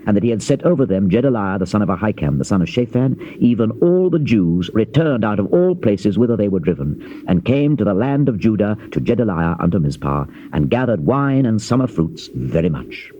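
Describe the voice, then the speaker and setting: Male scripture narrator, mellow and expressive, solemn measured storytelling, on a dull old cassette. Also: on the low side. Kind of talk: dramatized King James Bible reading, scripted narration. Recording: dull, hissy old cassette tape transfer.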